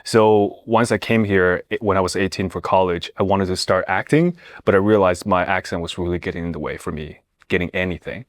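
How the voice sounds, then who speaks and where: A man's voice: deep voice, fairly even intonation, a little fast, hardly any pauses, no echo. A man from China with a Chinese accent and Mandarin as his first language, hosting a playful casual chat and teasing his guest. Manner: teasing, joking. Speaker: podcast host.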